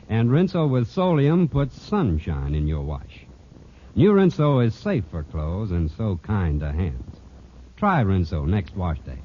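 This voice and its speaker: A man announcing a scripted 1940s radio advertisement in an emphatic, polished selling manner. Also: on the low side. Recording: muffled, noisy old radio recording.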